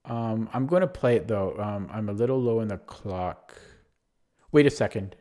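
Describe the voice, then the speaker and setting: A man's voice: fairly low pitch, animated, normal speed, dark tone. A man streaming online chess, thinking aloud through his moves with a hesitant, self-deprecating manner and frequent fillers. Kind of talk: live game commentary.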